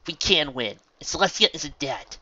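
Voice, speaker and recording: A young pony heroine from a storybook, put on by a man, sincere and modest. The voice is lifted in pitch and bright, with a slightly hesitant, earnest manner. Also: big pitch swings, normal speed, slightly rough, muffled recording, heavily acted. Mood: resolved.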